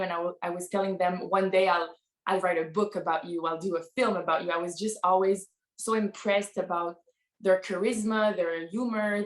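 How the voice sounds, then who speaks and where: Woman from tunisia talking about her film, earnest, lots of restarts, roomy echo. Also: mid-range voice. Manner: earnest, self-correcting, many "like" fillers. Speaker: filmmaker panelist. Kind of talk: casual panel answer.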